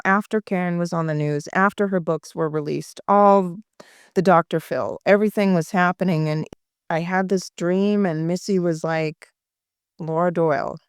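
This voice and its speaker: A woman with a fairly low, very clean and smooth voice speaks as a documentary interviewer. Her delivery is reflective, and the sound is dry and close. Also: no echo.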